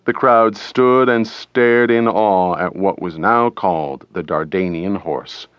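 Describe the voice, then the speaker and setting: A man reading an audiobook as dramatic scripted narration, deliberate and theatrical, close to the microphone. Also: sits low, a bit bright, clean tone, no echo, highs cut off.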